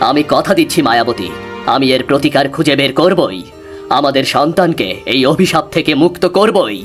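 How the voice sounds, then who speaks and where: Man playing an earnest, animated protective king. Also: a little high. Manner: earnest, solemn vows. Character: protective, determined king consoling his queen.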